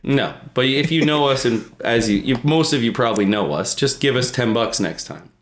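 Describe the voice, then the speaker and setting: A man's voice: medium pitch, animated, normal speed. A man co-hosting a comedic podcast, chatting casually and joking with plenty of profanity. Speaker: podcast co-host.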